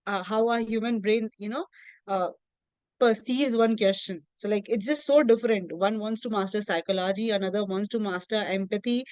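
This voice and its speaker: Woman hosting a zoom call, higher voice, smooth and crisp, dry sound, lots of "like" and "you know". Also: a little mellow, dry recording. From India. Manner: encouraging, gives praise, loose fillers. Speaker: session host. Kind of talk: casual moderating on a video call. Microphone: video call.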